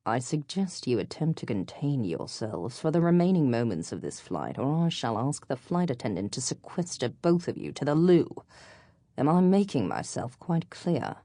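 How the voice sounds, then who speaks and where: A woman's voice: extremely low pitch, big pitch swings, normal speed, no room sound, clean studio sound, heavily acted. A woman voicing a stern, irritated British man in an audiobook, with clipped, formal, biting speech. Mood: cold anger. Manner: clipped, formal, scolding in hushed anger.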